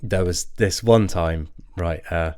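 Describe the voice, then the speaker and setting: An actor playing a weary, anxious new father in an audio drama, speaking haltingly with nervous laughs and throat clearing. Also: sits low, mellow. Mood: weary, exasperated. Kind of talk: naturalistic audio drama acting.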